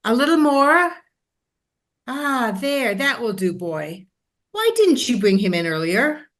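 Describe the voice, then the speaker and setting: A woman's voice: on the high side, very animated, slow, fairly bright tone, heavily acted. A woman playing a brusque, weary doctor in a stage drama, speaking in blunt, clipped phrases.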